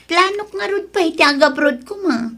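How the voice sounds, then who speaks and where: A woman's voice: very high-pitched, expressive, normal speed, a bit bright, heavily acted. An actress with an Ilocano accent playing a confident, ambitious young girlfriend who sweet-talks breezily in a radio drama. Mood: ambitious, dismissive.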